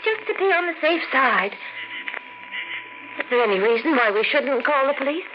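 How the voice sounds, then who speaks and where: A woman's voice: high voice, lively intonation, normal speed. An actress playing an anxious, suspicious young woman in a 1940s radio drama, worried and insistent. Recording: dull lo-fi 1940s radio broadcast with hiss.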